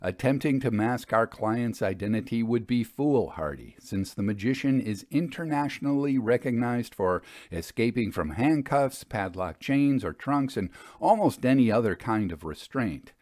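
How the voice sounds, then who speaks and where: Guy narrating an old-fashioned mystery story, middle pitched, animated intonation, measured phrasing. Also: no room sound, clean studio sound.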